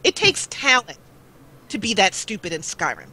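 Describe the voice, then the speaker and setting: A woman's voice: medium pitch, very animated, normal speed, bright, forceful tone, husky, poor audio. A woman chatting casually as a guest on a gaming live stream, rambling and playful, over a basic microphone. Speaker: guest, gaming roleplay video creator. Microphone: low-grade microphone on a live call.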